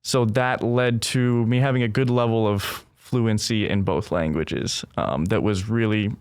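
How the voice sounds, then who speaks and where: Young guy raised in brazil, earnest and thoughtful, smooth voice, dry close mic. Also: fairly low pitch, measured pace, no echo. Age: young adult. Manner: thoughtful, earnest, frequent "right?" and "like". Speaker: guest. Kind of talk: casual conversational interview.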